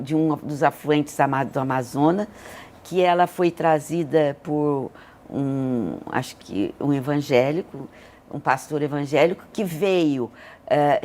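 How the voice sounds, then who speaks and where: An older Brazilian woman telling personal stories as an interview guest, speaking in an earnest, emotive way. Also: low voice. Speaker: interview guest, hospital leader. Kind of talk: reflective personal storytelling in an interview.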